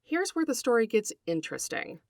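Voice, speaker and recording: A middle-aged woman hosting a podcast, reading a scripted narration in an articulate, deliberate storytelling way and speaking directly to her listeners. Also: fairly high pitch, sing-song, dry recording, studio quality. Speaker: podcast host, writer and folklorist.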